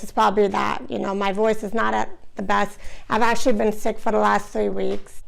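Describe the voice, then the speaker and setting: A woman hosting her own channel, talking to camera in a casual, chatty way with lots of "you know" fillers, her voice hoarse. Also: on the high side, rough recording. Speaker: vlogger, channel host.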